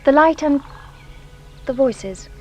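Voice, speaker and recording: A woman's voice: high-pitched, lively intonation, takes it slowly, faint music behind. An actress playing an anxious, guilt-ridden young Englishwoman giving testimony in a radio drama, with a hesitant, dramatic manner. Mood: mounting dread.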